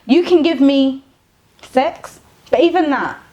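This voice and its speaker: A woman's voice: high-pitched, slow, dark tone, dull audio. Woman in her late twenties, earnest. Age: late 20s. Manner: earnest, defends her view, talks over others. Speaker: podcast panelist. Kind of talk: casual debate.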